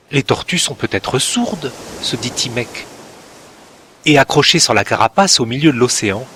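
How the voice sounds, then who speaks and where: A male storyteller reading a children's tale slowly, letting each phrase breathe. His voice is fairly high for a man and swings widely in pitch with lively expression. A faint hum of background noise sits under the narration. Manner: slow, expressive and gentle.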